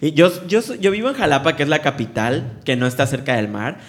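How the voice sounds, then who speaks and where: A young man from Veracruz with a Mexican Spanish accent, chatting as an interview guest, friendly and quick to laugh. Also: a little high, sing-song. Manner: friendly, laughs often, many fillers. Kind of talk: casual interview conversation.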